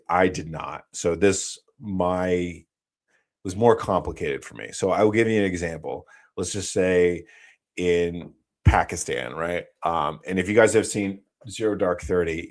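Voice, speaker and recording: A man from the United States, a former operator guest, telling stories slowly in a casual interview and often correcting himself. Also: low-pitched. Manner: slow, explanatory storytelling with frequent self-corrections.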